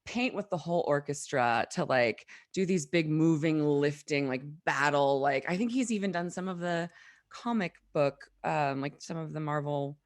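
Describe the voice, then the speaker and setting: A woman with a low voice co-hosts a talk show. She talks casually and sounds keen on whatever she is discussing. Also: moderate pace. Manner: enthusiastic. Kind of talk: casual chat.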